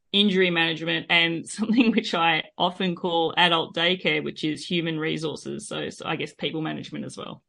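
A woman's voice: lowish voice, creaky, bright, forceful tone. An Australian woman, a podcast guest, telling her story in a chatty, reflective way with frequent fillers. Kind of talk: interview, personal storytelling.

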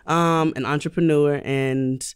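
A woman guest on a podcast, an animated storyteller who laughs easily. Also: low voice, measured pace, clear, resonant tone. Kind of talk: casual podcast conversation.

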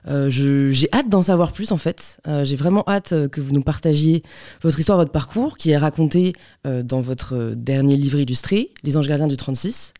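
Podcast host, mellow voice, casual, curious, lots of fillers. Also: medium pitch, moderate pace. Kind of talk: conversational interview.

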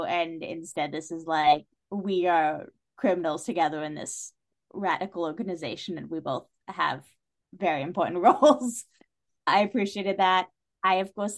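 A woman with a mid-range voice chats as a guest on a book podcast. She is opinionated and emphatic, talking through stories in a casual, conversational way. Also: mid-range voice. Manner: chatty and opinionated, often emphatic. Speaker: podcast guest, writer and director. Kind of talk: casual literary discussion.

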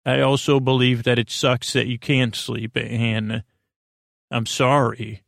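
A man hosting a bedtime podcast, talking straight to the listener in a meandering, halting way that often trails off and doubles back. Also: mid-range voice, flat delivery, a bit bright, dry recording, clean studio sound. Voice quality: gravelly, creaky. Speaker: podcast host. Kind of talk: rambling bedtime podcast monologue, addressed to the listener.

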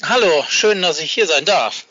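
Sharp, high-voiced man on internet call. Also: expressive, moderate pace, no room sound, highs cut off. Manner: rambling, colloquial. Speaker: interview guest, fan club founder. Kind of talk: casual interview talk. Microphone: Skype call.

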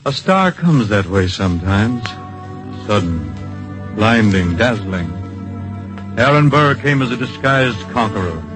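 An actor playing an earnest, solemn storyteller, narrating in poetic phrases with dramatic pauses in an old-time radio drama. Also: male voice, lowish voice, very animated, rough recording. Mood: earnest, reflective. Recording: vintage radio broadcast with music.

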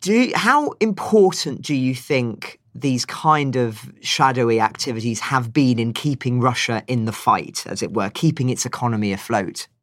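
A man's voice: mid-range voice, normal speed, hardly any pauses, a bit bright, no room sound, studio quality. A man co-hosting a podcast, putting questions to a guest in a polite, roundabout, conversational way. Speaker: podcast co-host interviewing a guest.

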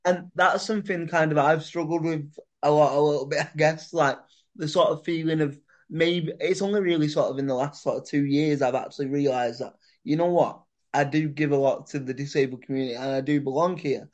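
A male podcast host with a somewhat high voice leads a casual conversation. He rambles and keeps padding his sentences with "sort of" and "like". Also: fairly even intonation, a little fast. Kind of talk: casual chat.

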